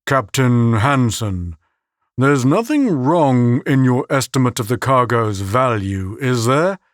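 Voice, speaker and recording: A man voicing a stern, deep-voiced colonel. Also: lively intonation, dry recording, studio quality. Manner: deep and measured.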